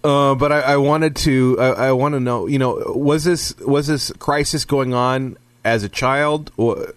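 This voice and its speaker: A man's voice: medium pitch, fairly even intonation, measured pace, dry recording. A man hosting a radio talk show from a studio, earnest and rambling with restarts.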